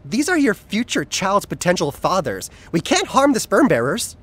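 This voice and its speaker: A flamboyant, loyal male assistant in an audio drama. His pitch leaps around expressively as he banters with playful, theatrical wit. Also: huge pitch swings, normal speed, fairly bright tone, a little hiss or hum, heavily acted. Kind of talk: audio drama acting, conversational.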